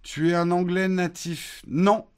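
A man from France hosting a casual morning live stream, answering viewer questions in a relaxed, hesitant way with many fillers. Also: high-pitched, slow, smooth. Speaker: host of a morning live show answering chat.

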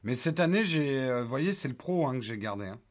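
A man's voice: mid-range voice, lively intonation, fairly quick, a little hiss or hum. A man hosting a casual tech livestream, chatting loosely with many fillers and joking asides as he answers viewer questions; his first language is English, though he was born in France and grew up in the United States. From France, raised in the United States. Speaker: tech channel host answering live chat questions.

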